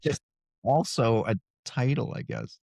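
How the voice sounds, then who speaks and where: A man's voice: medium pitch, huge pitch swings, a little slow, vocal fry, darkish tone, no room sound. A man talking casually as an interviewed author, explaining things in a wry, good-humored way. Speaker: fantasy author being interviewed.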